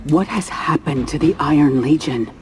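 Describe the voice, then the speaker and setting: A man's voice: on the high side, moderate pace, lightly acted. A man playing an earnest, dutiful knight in a dramatic game cutscene, solemn and resolute. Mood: solemn, troubled. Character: earnest, dutiful male knight warden, questioning his order. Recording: loud music behind. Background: loud music.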